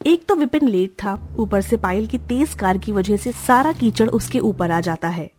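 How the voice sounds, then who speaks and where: Woman narrator, mellow, slightly husky, dry studio voice-over, steady storytelling. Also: medium pitch, moderate pace. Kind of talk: scripted narration.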